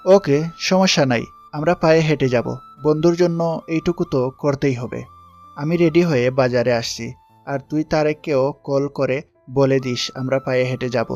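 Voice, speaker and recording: A man's voice: mid-range voice, moderate pace, a little mellow, lo-fi sound, faint music behind, lightly acted. Man as easygoing schoolboy best friend. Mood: agreeable. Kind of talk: audio story acting.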